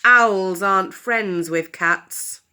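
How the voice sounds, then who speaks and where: A woman voicing a blunt male cat who brushes off a stranger in a lower voice. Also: very slow, sharp tone, smooth, heavily acted. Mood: dismissive.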